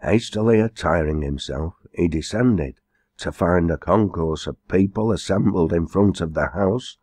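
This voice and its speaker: A man reading a storybook aloud as scripted narration, in a measured, formal storytelling manner. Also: deep voice, a little mellow, dry recording. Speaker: audiobook reader.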